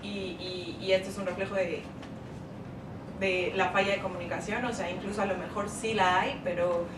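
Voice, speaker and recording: A Mexican woman speaking earnestly on a panel at an event, steady with small restarts. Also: mid-range voice, normal speed. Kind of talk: panel talk. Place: event hall. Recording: echoey hall, distant mic, noisy.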